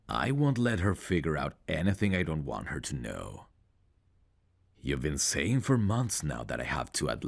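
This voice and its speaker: A man's voice: extremely low pitch, gravelly, heavily acted. A man playing a cocky, smug prizefighter in an ASMR roleplay audio drama, with a boastful, teasing, self-assured manner. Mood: reassuring, casual. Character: cocky, smug male prizefighter, proud of his looks. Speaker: voice actor.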